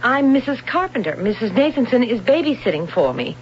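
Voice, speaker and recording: Nervous, animated American wife. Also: female voice, fairly high pitch, slight background noise. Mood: alarmed. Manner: nervous, halting. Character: anxious, hesitant suburban wife. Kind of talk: old-time radio drama acting. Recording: dull old radio broadcast, music behind.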